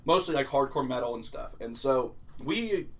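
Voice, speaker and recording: A man's voice: medium pitch, lively intonation, normal speed. Joking young man on a podcast. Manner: laughs often, loose and joking. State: a little hungover. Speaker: podcast co-host. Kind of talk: casual chat.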